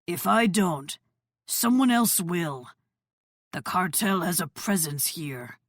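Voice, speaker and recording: An audiobook figure of a powerful crime-boss father, acted by a woman. The voice is on the low side and calm, with firm authority. The sound is close and dry. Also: takes it slowly, no echo. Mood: measured, businesslike. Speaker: audiobook narrator voicing dialogue. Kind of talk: audiobook character dialogue.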